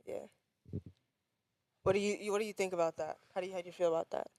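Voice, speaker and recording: A young woman, a singer and songwriter, answering interview questions on a podcast in a casual, halting way, often restarting her sentences. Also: mid-range voice, fairly even intonation, darkish tone, no echo. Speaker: guest musician.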